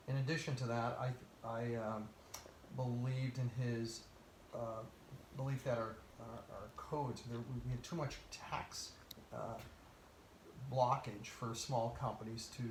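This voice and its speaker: A man from Portugal talking casually in a conversation, giving his views at a measured pace and pausing often with "uh". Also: medium pitch, roomy sound, a little hiss or hum, rough recording. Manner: measured, lists places and reasons, with many "uh" pauses. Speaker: interviewee.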